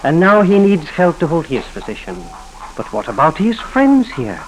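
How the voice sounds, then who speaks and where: An actor playing a courteous, skeptical American professor with a scholarly, questioning manner in a 1940s radio drama. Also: male voice, a little high, huge pitch swings, normal speed. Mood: curious, probing. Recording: noisy 1940s radio broadcast recording.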